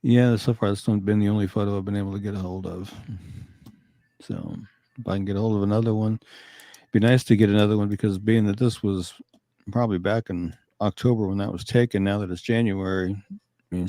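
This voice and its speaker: Man, fifties, low flat mellow voice, easygoing co-host, dry close sound. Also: vocal fry, dry recording. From Kansas. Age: 50s. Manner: easygoing, laughs often, reads details slowly. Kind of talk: casual chat and missing-person appeal.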